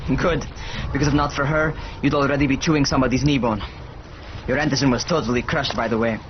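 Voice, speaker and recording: A man's voice: medium pitch, fairly even intonation, quick pace, lightly acted. A man acting a cocky, irritable young survivor in a video game, brusque and sarcastic. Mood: scornful, impatient. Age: young adult. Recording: muffled, clearly noisy background.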